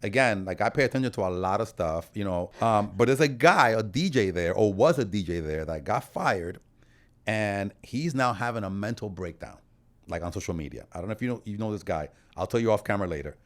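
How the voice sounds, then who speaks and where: A man's voice: fairly low pitch, lively intonation, brisk. A man hosting a casual interview, informal as he circles his way into questions. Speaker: podcast host.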